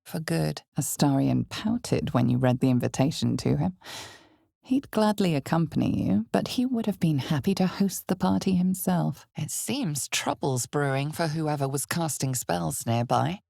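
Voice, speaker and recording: A woman playing the knowing, ominous narrator of a dark fantasy video game, speaking in a measured, deliberate way with weighty pauses. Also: sits low, lively intonation, creaky, no echo, studio quality, heavily acted. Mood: measured, wry. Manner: measured, deliberate, weighty pauses, sometimes dropping to near whisper. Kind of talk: dramatic scripted fantasy game narration in second person.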